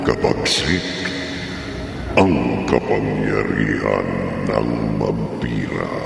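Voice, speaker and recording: A male horror radio announcer with an extremely deep voice and heavy creak. He draws out each phrase with solemn, theatrical menace, echoing heavily through a cavernous space. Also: animated. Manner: ominous, drawn-out, solemn delivery. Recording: long cavernous reverb over music. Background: music.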